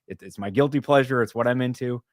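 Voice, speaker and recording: A man hosts a casual podcast panel and rambles easily, filling his talk with "you know." His pitch sits at an ordinary middle for a man, and his dry mic has no room sound at all. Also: fast talker, no echo. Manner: relaxed, rambling, says "you know" a lot. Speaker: host.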